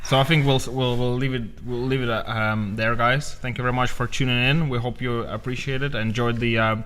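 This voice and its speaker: A man born in Estonia with Russian as his first language, hosting a livestream Q&A in a relaxed way with many ums. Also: medium pitch, brisk, clear, resonant tone. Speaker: livestream host. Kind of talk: casual livestream Q&A.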